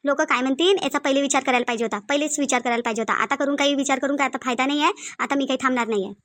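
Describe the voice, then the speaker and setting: A woman's voice: high voice, rapid-fire, fairly bright tone. A woman with a Varhadi Marathi accent playing a stubborn, aggrieved young daughter-in-law in a cartoon family drama, complaining insistently and repeating herself. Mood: angry, aggrieved.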